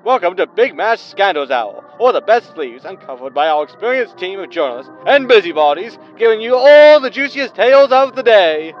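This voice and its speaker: An actor playing a brash, excitable tabloid radio announcer in a science fiction audio sitcom, with a loud, high voice. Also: male voice, moderate pace, few pauses, muffled recording, soft background music. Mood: showy hype.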